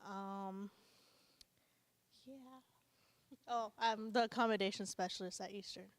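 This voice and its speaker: A woman's voice: mid-range voice, steady tone, unhurried, no echo. A woman and accommodation specialist introducing herself shyly and hesitantly on a panel. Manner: shy, hesitant.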